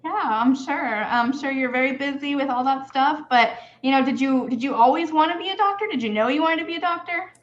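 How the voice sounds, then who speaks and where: A high-pitched woman hosts a video interview in a friendly, easygoing way, often laughing and using fillers. The sound is dull and a bit lo-fi. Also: fairly quick, few pauses. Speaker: immigration attorney and host.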